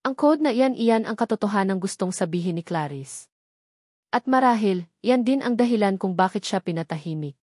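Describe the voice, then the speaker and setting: A woman's voice: mid-range voice, expressive, moderate pace, darkish tone, dry recording, studio quality, lightly acted. A woman with a Filipino accent voicing a serious, cautious male investigator in a storybook, firm and practical. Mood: grave warning. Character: serious, cautious man, a sharp-minded investigator. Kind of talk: dramatic audio storybook dialogue.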